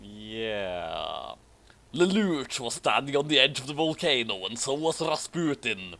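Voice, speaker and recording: A man's voice: medium pitch, big pitch swings, unhurried, bright, forceful tone. A man reading fan fiction aloud and commenting on it in a casual, comedic way.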